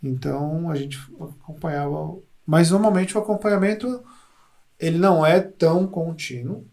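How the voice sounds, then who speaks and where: A man with a slightly raised pitch and a gravelly, creaky edge. He speaks as a hospital psychologist in a relaxed conversational interview, often repeating words as he gathers his thoughts. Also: moderate pace. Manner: thoughtful, repeats words while searching for them. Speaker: hospital psychologist, podcast guest.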